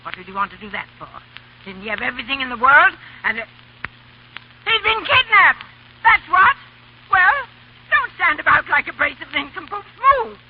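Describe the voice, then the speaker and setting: An older woman acting an imperious, sharp-tongued rich grandmother in a 1930s radio comedy, bossy and snapping, with a raspy, creaky voice. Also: high-pitched, sing-song, normal speed, a little hiss or hum. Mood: agitated, commanding. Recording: dull, lo-fi old radio recording.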